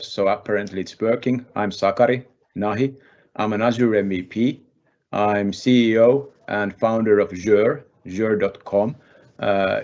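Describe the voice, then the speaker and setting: Brief: man panelist, low voice, brisk and matter-of-fact, muffled call audio. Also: darkish tone. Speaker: company founder, panelist. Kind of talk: self-introduction. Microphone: video call.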